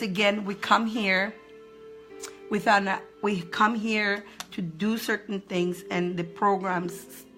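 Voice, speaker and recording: A woman's voice: mid-range voice, fairly bright tone. A woman hosting a casual live-stream card reading, chatty and upbeat. She greets viewers by name, laughs often and repeats phrases for emphasis.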